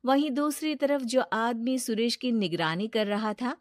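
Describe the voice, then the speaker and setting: A woman's voice: on the high side, lively intonation, measured pace, no room sound, studio quality. A woman narrator reading a scripted moral story.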